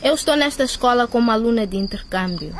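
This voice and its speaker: A young woman playing a bold, sharp-tongued female student in a radio drama. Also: fairly high pitch, expressive, fast talker, a little hiss or hum, lightly acted. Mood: cold, sarcastic.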